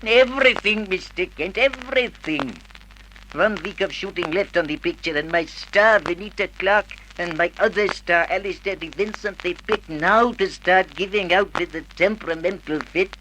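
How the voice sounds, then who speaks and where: Worked up movie producer, highish sharp voice, complains dramatically, old radio play. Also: male voice, normal speed, rough recording. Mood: exasperated. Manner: melodramatic, complaining, emphatic. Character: excitable, fretful male film producer with foreign turns of phrase. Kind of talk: 1950s radio drama acting. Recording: old radio recording, faint noise.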